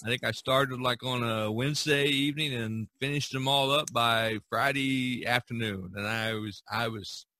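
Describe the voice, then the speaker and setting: Male podcast host, mid-pitched, loose rambling chat with chuckles. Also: fairly even intonation, moderate pace, few pauses, sharp tone, slight background noise.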